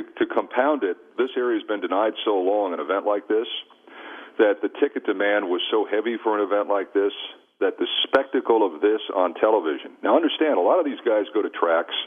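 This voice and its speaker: A man's voice: on the low side, fairly quick, narrow, tinny audio. A man talking conversationally on a sports radio talk show, repeating phrases to drive his points home. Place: radio studio. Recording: thin, band-limited radio broadcast.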